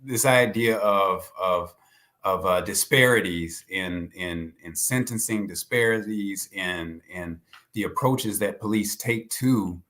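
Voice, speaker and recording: A man speaking as a professor guest in conversational commentary, deliberately repeating words as he builds each point. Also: low voice, fairly bright tone.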